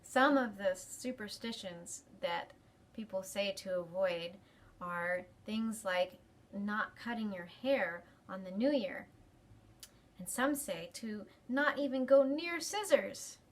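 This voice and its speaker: A woman's voice: medium pitch, slow. A woman hosting a library storytime, talking expressively to children. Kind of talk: expressive picture-book reading and talk to children.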